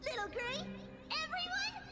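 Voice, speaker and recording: A woman voicing a tiny, cheerful, childlike fairy girl in a video game, excitable and affectionate as she exclaims. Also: very high-pitched, measured pace, big-room sound, over loud music, heavily acted. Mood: gleeful, affectionate. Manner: refers to itself in third person, exclaiming. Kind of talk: animated video game voice acting.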